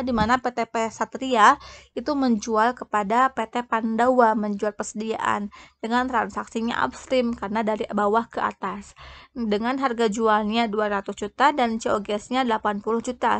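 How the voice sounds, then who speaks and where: A woman giving a semi-formal lecture, explaining step by step with halting self-corrections. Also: a little high, a bit bright. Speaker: accounting lecturer.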